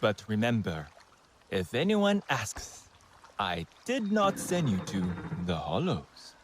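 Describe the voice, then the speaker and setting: A man's voice: on the low side, huge pitch swings, takes it slowly, heavily acted. An actor playing a cautious male villager who thinks things over hesitantly before agreeing to help. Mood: guarded. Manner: hesitant, thoughtful.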